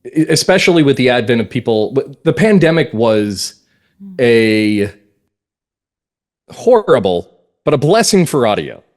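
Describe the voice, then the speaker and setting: A man's voice: medium pitch, very animated, a little slow, pauses often. A man chatting casually as an interview guest, telling anecdotes about his creative work with enthusiasm. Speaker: podcast guest, audio drama writer and producer.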